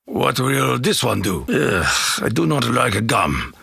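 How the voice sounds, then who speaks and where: A man's voice: mid-range voice, very animated, slow, vocal fry, sharp tone, breathy edge, dry recording, studio quality, exaggerated voice. A man voicing a solemn, honor-bound Japanese warrior in a video game, speaking with a Japanese accent in grave, formal declarations. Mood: puzzled, wary. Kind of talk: video game character voice lines.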